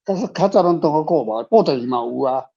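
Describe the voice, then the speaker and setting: Older male author guest, mellow and husky, halting informal talk, slightly dull recording. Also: a little high, animated. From Taiwan. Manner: repetitive, halting phrasing. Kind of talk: informal discussion. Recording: slightly muffled, faint guitar music behind. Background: faint guitar music.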